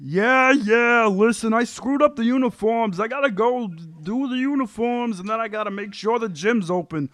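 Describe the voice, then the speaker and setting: A man's voice: extremely high pitch, moderate pace, few pauses, a bit bright, no echo. A man playing a whiny, put-upon sitcom husband and team captain in an amateur table read. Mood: grumbling.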